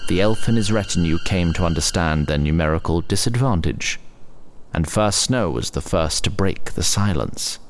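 A man narrating a scripted fantasy story in a deliberate storytelling delivery. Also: sits low, animated.

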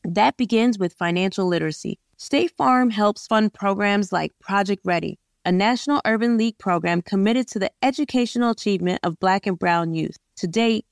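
A woman, a podcast host, reading a sponsor advertisement in a steady, earnest way. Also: mid-range voice, normal speed, hardly any pauses, dry recording, clean studio sound. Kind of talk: read advertisement.

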